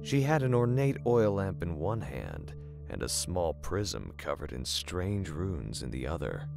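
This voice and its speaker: Low-pitched man narrating dark fiction. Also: very animated, measured pace, creaky. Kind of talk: dramatic scripted horror narration. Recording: music bed under the narration.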